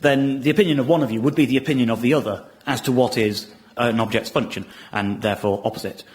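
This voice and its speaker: A man's voice: mid-range voice, fairly even intonation, fast. A man presenting a comedy show on the radio, recorded live.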